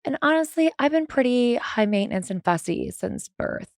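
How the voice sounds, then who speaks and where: A woman's voice: fairly high pitch, very animated, normal speed, gravelly, dry recording, studio quality. A woman in her thirties from California, a podcast guest chatting casually with sassy asides and frequent laughter. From California, USA. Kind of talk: casual chat.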